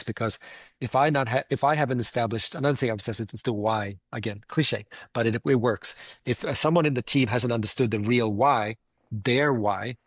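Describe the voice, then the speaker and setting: A man's voice: mid-range voice, no echo. A man with a Swedish accent, a company founder answering interview questions in an earnest way, often circling back to restate his points.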